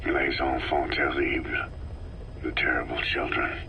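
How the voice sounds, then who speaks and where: An actor playing a grim, battle-hardened, stoic soldier boss in a video game cutscene, giving terse, clipped lines. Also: male voice, a bass voice, level tone, unhurried, vocal fry, bright, forceful tone, a little hiss or hum, exaggerated voice. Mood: bitter, cold.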